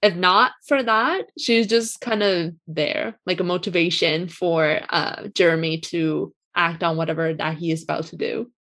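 A young woman, not a native English speaker, speaking quietly and calmly with some hesitation in a casual chat. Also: on the low side, moderate pace, few pauses. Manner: quiet, composed, hesitant with fillers. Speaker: co-host.

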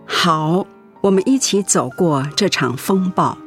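A woman acting in a radio drama as a gentle, devout mother who speaks in a soothing, reassuring way. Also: fairly low pitch, lively intonation, measured pace, soft background music. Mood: reassuring, tender.

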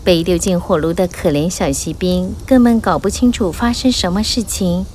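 A woman narrating a children's fairy tale in an animated, expressive way, rising and falling with the drama. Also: on the low side, normal speed, a little hiss or hum. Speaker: storyteller.

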